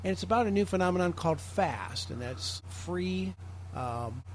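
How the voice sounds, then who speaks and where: An American man with a slightly high voice talks informally to a group. He explains things in a rambling way. The meeting audio has faint background noise. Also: animated, moderate pace. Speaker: club meeting presenter. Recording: clearly noisy online meeting audio.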